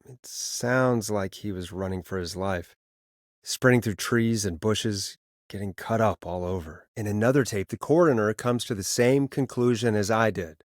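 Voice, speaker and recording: A man's voice: on the low side, moderate pace, no room sound, close to an everyday voice. Casting: male actor for uneasy radio host playing detective in a video game, conversational, wry, close dry recording. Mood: thoughtful, wry. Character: male late-night radio DJ turned uneasy amateur sleuth. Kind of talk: naturalistic video game voice acting.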